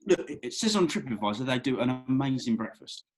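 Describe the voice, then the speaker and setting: A man playing a husky, awkward young suitor. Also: mid-range voice, sing-song, a little fast, faint music behind. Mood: sheepish. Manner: hesitant, earnest. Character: awkward, eager young man showing off on a date. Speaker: actor in a script read-through. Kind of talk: table read of a comedy screenplay. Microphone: video call.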